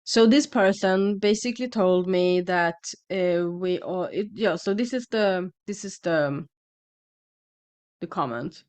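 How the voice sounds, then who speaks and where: This is a woman with a Swedish accent and a somewhat low voice. She speaks casually to her audience in English, which is not her first language. Her delivery is hesitant and halting, full of "uh"s, fillers and self-corrections. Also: a little mellow, clean tone. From Sweden. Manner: hesitant, many fillers and restarts. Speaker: channel host. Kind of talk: casual monologue to camera.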